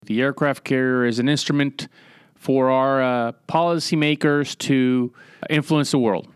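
A middle-aged man from Puerto Rico, a former Navy captain, giving measured, matter-of-fact answers as a podcast guest with frequent pauses and "uh" fillers. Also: mid-range voice, fairly even intonation, clean tone, dry recording, studio quality. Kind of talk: conversational interview answers.

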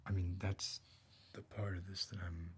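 A middle-aged man playing a gruff, skeptical backwoods tough guy in an ASMR roleplay, murmuring laconically and doubtfully. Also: little pitch movement, moderate pace, a little hiss or hum, close to an everyday voice. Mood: doubtful, resigned. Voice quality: hushed, low murmur. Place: dim indoor room.

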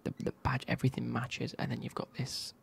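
A man, reviewing football kits in a soft, casual whisper with wry asides. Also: medium pitch, completely flat delivery, a little fast, vocal fry.

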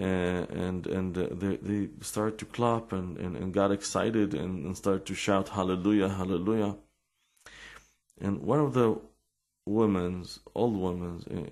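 A middle-aged Israeli man with an accent, telling his life story in halting English full of restarts and fillers. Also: deep voice, vocal fry, a little mellow. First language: Hebrew. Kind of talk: personal storytelling and explanation.

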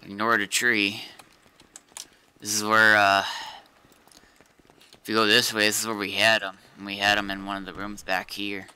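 A man's voice: lowish voice, little pitch movement, moderate pace, long pauses, sharp tone, smooth. A man talking casually in character as a player in an online survival roleplay game.

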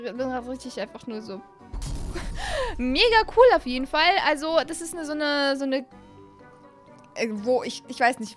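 A young woman hosting a gaming video, giving casual, animated and excitable commentary into a close desk microphone. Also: high voice. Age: young adult. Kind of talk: casual let's-play commentary. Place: small indoor streaming room. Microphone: close desk microphone on an arm. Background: faint music.

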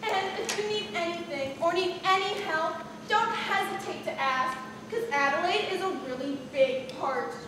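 A teenage girl playing a pushy, entitled high school drama student in a stage comedy. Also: moderate pace, hardly any pauses. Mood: falsely sweet. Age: teens. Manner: falsely sweet, then loudly demanding. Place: large theater stage, filmed from the audience. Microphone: far camera. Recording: distant, echoey auditorium, noisy.

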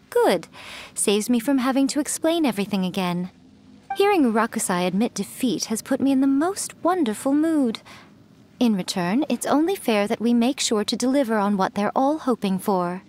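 A woman's voice: on the high side, lively intonation, normal speed, a little mellow, slight background noise, close to an everyday voice. A woman voicing a sly, centuries-old fox spirit priestess who teases and enjoys herself, in a video game. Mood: teasing. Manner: teasing, amused, playful.

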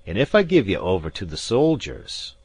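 A man voicing a shrewd, greedy ship captain who bargains gruffly and dryly in an audiobook. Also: lowish voice, sing-song, normal speed, darkish tone, no room sound, exaggerated voice. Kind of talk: audiobook character voice.

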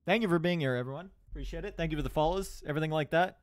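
A man's voice: on the high side, racing, no room sound. A man with a Canadian accent giving chatty, joking live commentary on an esports match, with dry sarcasm. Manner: loud excited shouting in tense moments. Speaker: esports caster. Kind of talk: live game commentary with chat banter.

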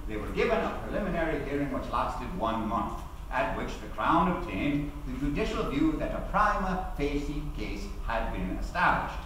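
A middle-aged actor playing a proud, eloquent male labour activist recalling his trial, speaking in formal, deliberate theatrical oratory with emphatic pauses. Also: on the high side, a bit bright. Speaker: actor giving a dramatic reading. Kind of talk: theatrical courtroom-style oratory at a lectern. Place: large auditorium, at a lectern. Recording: very echoey hall, distant mic, faint noise.

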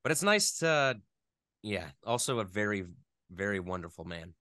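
A man in his thirties chatting casually as an interview guest, thinking aloud in a halting, self-deprecating way. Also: fairly low pitch, big pitch swings, pushed and bright, dry recording, clean studio sound. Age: 30s.

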